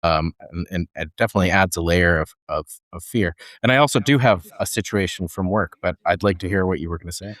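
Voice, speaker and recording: Deep-voiced man, reflective podcast chat. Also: fast, hardly any pauses, fairly bright tone, no echo, studio quality. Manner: reflective, with fillers like "you know" and "like". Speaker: podcast co-host. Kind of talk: casual discussion.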